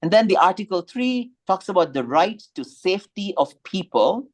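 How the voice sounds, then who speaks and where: A man's voice: high-pitched, big pitch swings, normal speed. A man whose first language is Tamil, giving a thoughtful slide presentation and rising in emphasis on key points.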